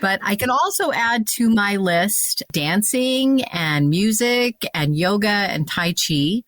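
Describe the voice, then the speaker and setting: A woman's voice: mid-range voice, expressive, takes it slowly, a bit bright, dry recording. A woman in her fifties from Montana, co-hosting a podcast in a playful, self-deprecating chatty manner. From Montana, USA. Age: 50s. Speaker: podcast co-host. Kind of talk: casual podcast chat.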